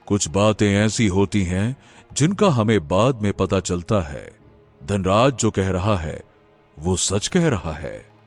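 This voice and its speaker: A grave holy sage from a cartoon folk tale, acted by a man speaks low and very slowly. His delivery is solemn and mysterious, with swings in pitch, over soft background music. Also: exaggerated voice. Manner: slow, grave, chanting Om. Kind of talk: animated folk tale acting.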